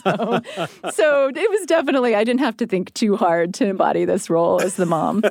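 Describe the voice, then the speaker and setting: A woman's voice: medium pitch, expressive, brisk, gravelly, a little mellow, no echo. A woman who hosts radio, chatting casually as a guest in a studio interview. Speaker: radio host appearing as guest.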